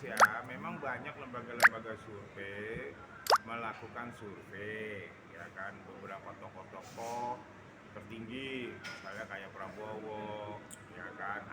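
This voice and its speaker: A man's voice: lowish voice, measured pace. A man from Java, explaining his views conversationally as an interview guest, often checking that the listener follows. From Java, Indonesia. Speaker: interview guest giving political opinion. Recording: roomy, echoey sound with background noise.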